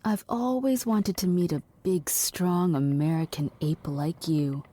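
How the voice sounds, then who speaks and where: An actress playing a poised, defiant and seductive suspected spy in a theatrical audio drama. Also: female voice, fairly low pitch, fairly even intonation, measured pace, dark tone. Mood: seductive, taunting.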